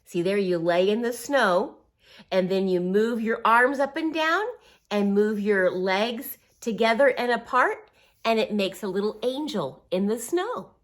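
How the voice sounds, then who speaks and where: A woman reading a picture book aloud to young children, with simple, encouraging phrasing, expressive emphasis and little asides and questions. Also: medium pitch, a little slow.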